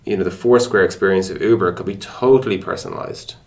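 A man's voice: sits low, fast talker, creaky. A man explaining things conversationally in a casual podcast interview, feeling his way toward words as he goes. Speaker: tech product executive being interviewed.

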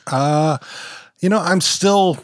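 A man's voice: a little high, slow, no room sound, studio quality. A man co-hosting a podcast in casual banter, a bit congested, laughing often and cracking crude jokes. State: slightly congested. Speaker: podcast co-host.

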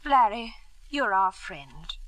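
Bright-voiced anxious wife, old radio drama. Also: female voice, mid-range voice, animated, slow, no echo, poor audio. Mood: troubled, restrained. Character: anxious, gentle middle-aged wife and devoted mother. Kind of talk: 1950s radio drama acting.

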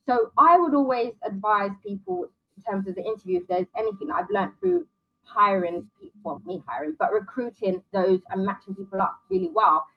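A woman guest in an interview, giving career advice in a brisk, direct way. She runs through options one by one, with a mid-range voice. The audio is dull and lo-fi. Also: medium pitch, sing-song, vocal fry.

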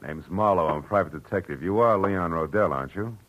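An actor playing a terse, world-weary private detective with an American accent in a 1940s radio drama. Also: male voice, sits low, a little fast, narrow, tinny audio. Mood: blunt, cool. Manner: terse, hard-boiled, dry. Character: hard-boiled, world-weary male private detective narrating his case. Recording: vintage radio drama with music.

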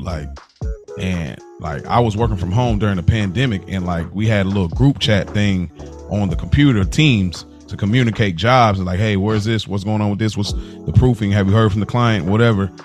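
Low-voiced American man telling casual stories. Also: brisk, faint music behind. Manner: animated retelling with acted-out quotes, slangy. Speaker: podcast co-host. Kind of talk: casual storytelling chat.

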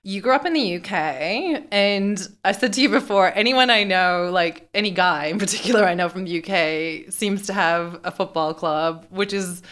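A woman co-hosts a casual podcast chat in a friendly, talkative way. She laughs often and backtracks mid-sentence to correct herself. Her voice sits at an ordinary pitch. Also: normal speed, hardly any pauses, fairly bright tone. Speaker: podcast co-host.

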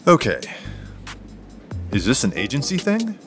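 A man's voice: medium pitch, huge pitch swings, unhurried, faint music behind. An actor playing a sceptical, formal male police detective in an audio drama. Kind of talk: naturalistic audio drama dialogue.

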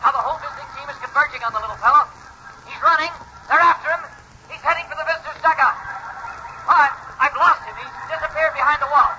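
A breathless vintage sports commentator calling a ball game. His voice is very high, sharp and punchy. It sounds like a dull, hissy old broadcast. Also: fast talker, full character voice. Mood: urgent. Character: excited male baseball radio play-by-play announcer. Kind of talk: 1940s sports commentary acting.

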